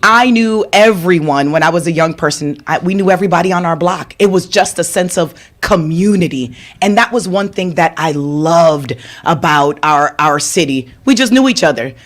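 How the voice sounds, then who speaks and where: A woman's voice: lowish voice, lively intonation, moderate pace, hardly any pauses. A woman from Jamaica introducing herself as a candidate at a neighborhood forum, telling a story with rhetorical asides. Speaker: aldermanic candidate. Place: community media center room.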